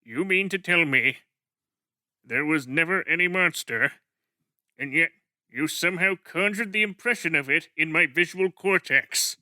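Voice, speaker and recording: A man's voice: fairly high pitch, a little slow, pushed and bright, no echo. A man voicing a tiny, pompous male cartoon villain with grandiose, melodramatic wording, in a comic fan dub. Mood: curious.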